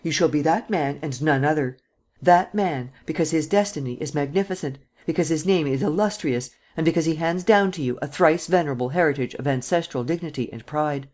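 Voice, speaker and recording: Woman voicing a forceful, imperious nobleman. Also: deep voice, fairly quick. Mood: commanding. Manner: imperious, vehement. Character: commanding ruthless male nobleman, forceful.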